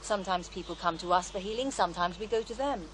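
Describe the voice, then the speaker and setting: Actress as a devout woman healer, calm n wistful, radio play, natural delivery, noticeable background noise. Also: medium pitch, fast talker, lightly acted. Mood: serene conviction. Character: serene devout female faith healer, former child therapist, wistful. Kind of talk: naturalistic radio drama acting.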